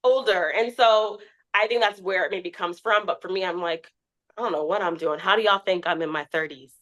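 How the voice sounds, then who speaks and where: Twenty-something woman talking freely on a podcast, mid pitch, keeps acting out what people said. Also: fairly quick. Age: 20s. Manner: candid, animated storytelling with quoted asides. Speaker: podcast guest, TikTok creator. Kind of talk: casual interview chat.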